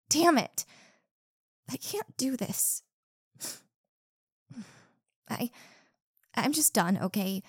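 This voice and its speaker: A rude, insecure college mean girl, voiced by a young woman, sharp-tongued and quick to get defensive, stumbling over hard words. Her voice swings widely up and down while she speaks slowly and deliberately. The sound is close and dry, with no room around it. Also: a little high, no echo, heavily acted. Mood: frustrated. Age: young adult. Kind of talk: one-sided audio roleplay.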